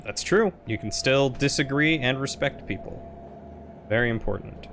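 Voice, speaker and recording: A man streaming a game live, chatting casually with a loud, projecting voice that he keeps lighter and friendlier than his natural deep baritone. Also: normal speed, slight background noise.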